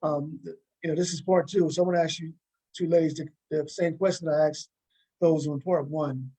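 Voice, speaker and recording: A man's voice: a little high, fairly even intonation, moderate pace, warm tone, slightly rough, rough recording. An American man hosting a casual interview over a video call. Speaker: host and playwright interviewing cast.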